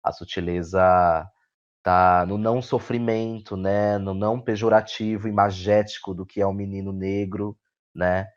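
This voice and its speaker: A man's voice: on the low side, steady tone, moderate pace. A man with a Brazilian Portuguese accent talking reflectively as an interview guest, often repeating words and adding tag questions. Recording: dull, lo-fi audio.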